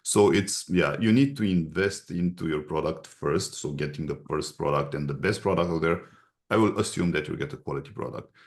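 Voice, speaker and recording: A man from Romania with a Romanian accent, explaining advice as an interview guest in a halting, instructive way. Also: rumbling low. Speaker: guest expert, agency representative.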